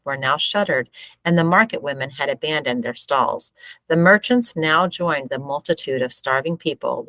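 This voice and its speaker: Low-pitched woman reading a story aloud. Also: sharp tone, dry recording. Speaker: teacher reading a book aloud. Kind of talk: storybook read-aloud, expressive narration.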